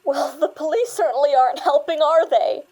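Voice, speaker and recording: A woman's voice: high voice, normal speed, dark tone, lightly acted. A woman playing a shaken, self-doubting young woman in a naturalistic audio drama. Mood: anxious, weary.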